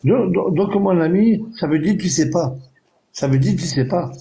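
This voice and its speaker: A man's voice: sits high, creaky, dark tone, rough recording. Insistent man repeating himself. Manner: repeats phrases, slow and insistent. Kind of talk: casual group voice chat, repetitive rhetorical questions.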